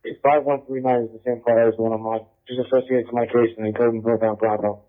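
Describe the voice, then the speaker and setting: A man's voice: medium pitch, flat delivery, fast. A male police officer calling dispatch in terse, clipped, informal chatter over a radio transmission. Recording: tinny narrowband police scanner radio.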